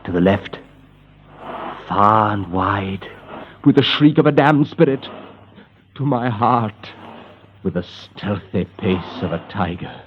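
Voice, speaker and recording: An actor playing a condemned captain tormented by dread, speaking in a halting, literary way in the style of old radio horror. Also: male voice, on the low side, lively intonation. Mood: haunted, brooding. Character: condemned male captain, tormented prisoner narrating his dread. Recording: muffled, noisy old radio recording.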